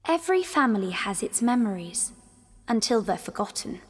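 Wistful lady telling family history, audio drama, highish voice, big echoing hall. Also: sing-song, moderate pace. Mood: wistful storytelling. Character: Violet, reflective woman narrating her family's past.

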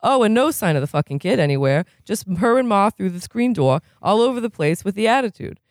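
A reader putting on a profane, rambling man in recovery who is quick to rage and keeps asking if you know what he's saying. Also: medium pitch, lively intonation, dry recording, clean studio sound, voice pushed up, close to an everyday voice. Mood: resentful. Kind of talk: reading a novel aloud, putting on a character.

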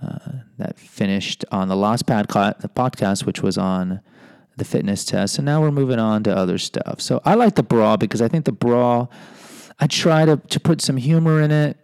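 Self-deprecating American man, gravelly and dry. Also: mid-range voice, normal speed, darkish tone, no room sound. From United States. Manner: self-deprecating, rambling digressions. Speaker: podcast host and teacher. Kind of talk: confessional memoir reading with chatty asides.

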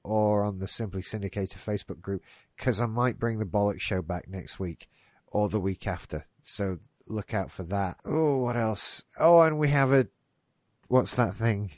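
A man's voice: lowish voice, normal speed, a little mellow, dry recording. A man hosting a casual gaming news podcast, rambling and swearing lightly, a bit stuffed up. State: getting a stuffy nose. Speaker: podcast host. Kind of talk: casual chat.

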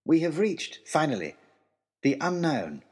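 Male storyteller for period adventure diary: expressive, formal diction, very lively intonation, slightly rough-edged tone. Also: fairly high pitch, unhurried. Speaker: storyteller, first-person narrator. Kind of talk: scripted adventure-journal narration.

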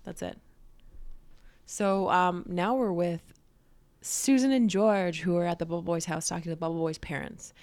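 A woman's voice: fairly low pitch, steady tone, moderate pace, a little mellow. A young woman co-hosting a podcast, chatting casually about a sitcom episode, swearing and saying "like" a lot. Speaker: podcast co-host.

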